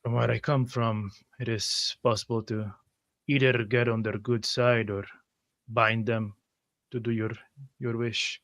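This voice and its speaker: A man roleplaying at a tabletop game, improvising in a formal, courteous, measured manner. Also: medium pitch, flat delivery, a bit bright, no room sound.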